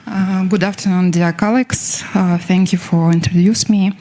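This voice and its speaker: A young researcher at a medical congress, a woman working carefully and haltingly through her prepared talk. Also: on the low side, steady tone, warm tone. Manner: halting, self-correcting delivery. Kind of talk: prepared scientific presentation.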